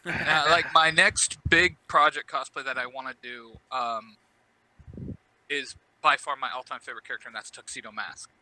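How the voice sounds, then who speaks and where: A man's voice: medium pitch, steady tone, normal speed, pushed and bright, a little hiss or hum, low-quality recording. A man talking as a guest on a podcast in a casual, conversational style. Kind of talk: casual chat.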